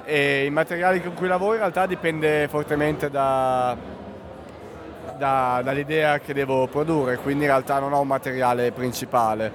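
A man's voice: medium pitch, steady tone, moderate pace. A man from Italy with an Italian accent, an art student describing his studies and his work with materials, searching for words as he goes. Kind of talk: personal interview answers. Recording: crowd noise around.

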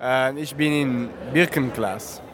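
A man's voice: medium pitch, slow pace. A man from Italy with an Italian accent, an art student answering interview questions, hesitant and often restarting his phrases. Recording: busy crowd in the background.

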